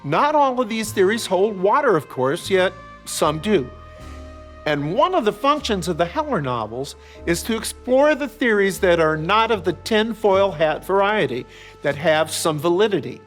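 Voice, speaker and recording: An older American man giving reflective, explanatory commentary as an author and host, measured and articulate, with parenthetical asides. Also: high voice, very animated, soft background music. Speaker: author and series host discussing his novels. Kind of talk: reflective explanatory commentary, semi-scripted narration.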